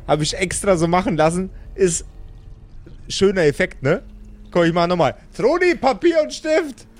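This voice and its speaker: A man's voice: high-pitched, expressive, normal speed, faint music behind. A man voicing a boastful, chummy undead necromancer in a fantasy tabletop audio game, slangy, stammering and repeating himself. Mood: bellowing. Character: boastful, chummy undead necromancer boss bragging about gadgets.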